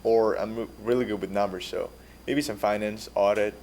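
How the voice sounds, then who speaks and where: A man's voice: fairly low pitch, steady tone, normal speed, darkish tone, a little hiss or hum. An eighteen-year-old man from the country of Georgia, a native Georgian speaker, giving polite, earnest answers as an exchange student. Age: teens. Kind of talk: answering questions at a public meeting.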